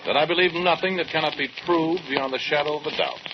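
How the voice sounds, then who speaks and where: A man's voice: on the high side, fast talker, lightly acted. An actor playing a cold, single-minded scientist in his forties, speaking precisely and with detachment in an old-time radio drama. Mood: coldly declarative. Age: 40s. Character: cold, single-minded male research scientist, aged forty-seven. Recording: dull, noisy vintage radio recording.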